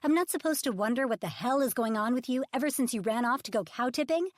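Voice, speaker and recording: A woman voicing a high-strung female Hollywood agent whose voice is high-pitched, strained, loud and scolding. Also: expressive, brisk, no room sound, clean studio sound. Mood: angry scolding.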